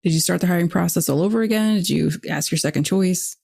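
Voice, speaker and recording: A woman's voice: fairly low pitch, fast, vocal fry, darkish tone, breathy edge. A woman co-hosting a podcast, asking questions and chatting in a friendly, upbeat way. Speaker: podcast co-host. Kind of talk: casual chat and interviewing.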